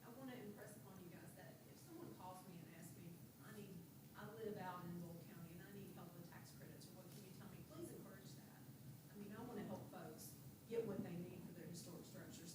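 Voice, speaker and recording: A woman's voice: fairly low pitch, very noisy. A woman with a big voice speaking earnestly and in a folksy, talkative way at a public planning meeting.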